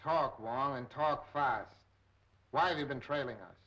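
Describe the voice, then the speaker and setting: Muffled old film sound: a curt, demanding villain, medium-pitched, clipped and impatient, 1930s style. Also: male voice, a little slow, pushed and bright. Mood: curt, threatening. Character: brusque, impatient, threatening male spy boss.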